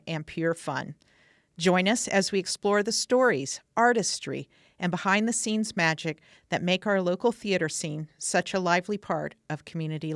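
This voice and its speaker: A woman's voice: on the low side, dry recording. Woman announcer, dry studio read. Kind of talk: scripted show introduction.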